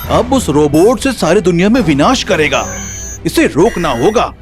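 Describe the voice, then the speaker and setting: A man voicing an earnest, determined inventor hero in an animated children's story, speaking with resolve. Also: sits high, moderate pace, faint music behind. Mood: resolute.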